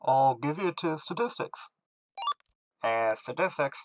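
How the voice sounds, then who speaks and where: A man's voice: medium pitch, lively intonation, slow, no echo. A man playing a businesslike starship radio operator in a radio drama, clipped and procedural, over a ship radio.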